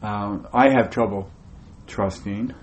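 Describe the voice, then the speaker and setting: A man hosting an interview, speaking in measured, hesitant phrases with pauses. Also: fairly low pitch, fairly even intonation. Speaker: interview host. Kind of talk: conversational interview.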